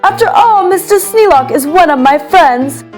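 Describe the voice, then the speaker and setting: Woman playing an eager, high-voiced boastful young boy. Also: lively intonation, moderate pace, faint music behind. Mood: proud showman. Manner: grandiose showman's patter, singsong and emphatic.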